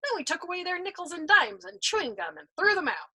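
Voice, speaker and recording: A woman voicing a smug, pudgy young male airman who boasts loudly. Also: very high-pitched, sing-song, quick pace, pitched above the performer's own voice, full character voice. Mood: nostalgic.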